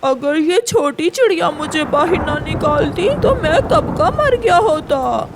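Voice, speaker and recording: Woman as a high-pitched, pleading little eagle chick. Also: lively intonation, a little slow, noisy background. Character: small, pleading young male eagle chick.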